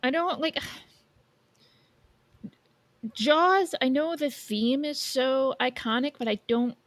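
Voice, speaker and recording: A woman's voice: high voice, drawn-out words, dry recording. A woman co-hosting a podcast, chatting casually about pop culture and thinking aloud. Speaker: podcast co-host.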